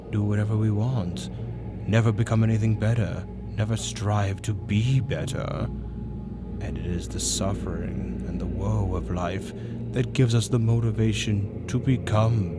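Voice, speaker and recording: A man voicing a grandiose avian demon tempter in a dark fantasy roleplay monologue, lecturing persuasively with theatrical, drawn-out emphasis over gothic music. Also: on the low side, steady tone. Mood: solemn, persuasive. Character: male avian demon arch-tempter, grandiose philosophical seducer. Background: loud dark gothic music.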